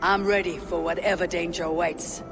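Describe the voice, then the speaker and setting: An actor playing a steadfast, loyal and wry male Viking warrior in a video game, speaking in a firm, measured way. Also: high-pitched, flat delivery, music in the background, natural voice. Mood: resolute. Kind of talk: video game cutscene acting.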